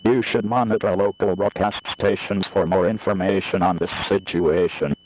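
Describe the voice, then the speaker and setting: A deep-pitched man delivers a scripted emergency broadcast. His wording is official and formal, and he sounds mechanical as he reads the alert. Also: fairly even intonation, normal speed, background noise.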